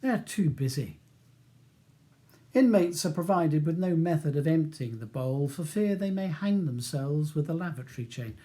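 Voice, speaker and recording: A man's voice: fairly high pitch, expressive, moderate pace, few pauses, dark tone. A man reading his own novel as scripted audiobook narration.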